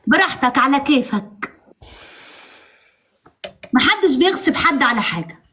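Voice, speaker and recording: A woman speaks in a high-pitched voice with an Egyptian accent. She banters informally on a live stream, pressing her point with teasing, mock-stern insistence. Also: big pitch swings, moderate pace, sharp tone. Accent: Egyptian Arabic. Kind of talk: casual bantering live chat.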